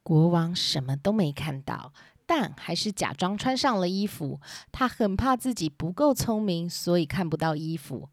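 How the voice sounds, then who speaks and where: A woman's voice: fairly low pitch, animated, normal speed, few pauses, dry recording, clean studio sound. A woman narrating a scripted children's story as its storyteller. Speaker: storyteller and host.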